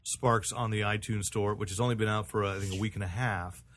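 Give a man with a fairly low voice, hosting a podcast. He speaks casually, as part of a group discussion. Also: fairly even intonation, fast, fairly bright tone, a little hiss or hum. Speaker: podcast host.

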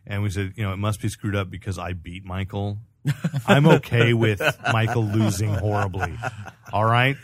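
A man's voice: lowish voice, normal speed, no room sound, clean studio sound. A man on a podcast panel, chatting casually with joking, sarcastic interjections. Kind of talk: casual bantering chat.

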